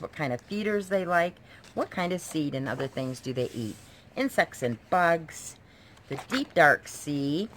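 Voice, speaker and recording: A woman with a low voice talks through books in a casual show-and-tell. She is enthusiastic and explains things simply, as a homeschooling mom would. The home recording carries a faint layer of noise. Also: a bit bright. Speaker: homeschooling mother presenting books. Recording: noisy home video recording.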